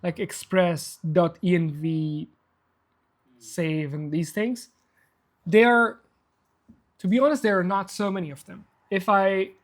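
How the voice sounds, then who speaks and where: A man's voice: high-pitched, slow pace, pauses often. Persian guy running a live coding demo, chatty and self-deprecating, lots of restarts. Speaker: workshop host.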